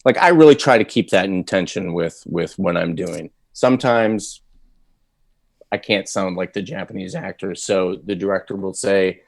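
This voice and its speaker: Man, low voice, dry close recording, rambling interview chat. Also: normal speed, creaky, no room sound. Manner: rambling, thoughtful, many fillers and restarts. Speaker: voice actor guest.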